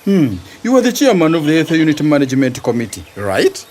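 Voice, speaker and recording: A man's voice: fairly high pitch, huge pitch swings, a little fast, a little mellow, slight background noise. A man with a Ugandan accent playing an insistent, meddling old village chairman in a radio drama, probing and easily scandalized. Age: older.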